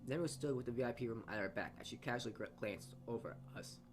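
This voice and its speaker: A man's voice: mid-range voice, quick pace, over music. A man reading a visual novel's narration aloud as a let's-player, often stumbling and rereading phrases.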